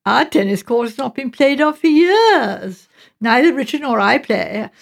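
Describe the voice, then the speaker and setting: Woman playing languid, high-voiced, dismissive English mother. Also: expressive, a little slow, creaky, full character voice. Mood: amused, dismissive. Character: affected, self-absorbed middle-aged mother, coolly dismissive.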